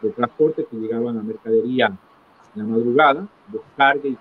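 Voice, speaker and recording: Middle aged guy getting interviewed, telling his life story kinda haltingly, average pitch, tinny call sound. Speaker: interview guest. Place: small indoor room, video call. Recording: tinny phone-like call with clear noise.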